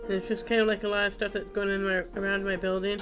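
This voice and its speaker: A woman's voice: medium pitch, flat delivery, quick pace, over music. A woman with a stuffy, congested voice, hosting a casual craft video with rambling, unscripted narration as she works.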